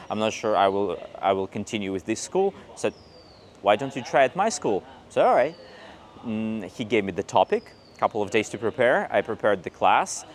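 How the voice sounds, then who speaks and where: A man in his thirties from Belarus, an English teacher telling his story in a casual interview, recorded on a lapel mic. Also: fairly low pitch. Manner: chatty storyteller, acts out quoted dialogue. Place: outdoors on a paved old street and in a cafe.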